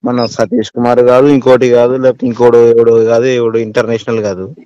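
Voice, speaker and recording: Male, medium pitch, casual and affirming, slightly lo-fi dry phone sound. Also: little pitch movement, a little fast, warm tone, smooth, no room sound. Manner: agreeable, affirming. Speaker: listener in a phone discussion. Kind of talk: casual chat. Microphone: telephone.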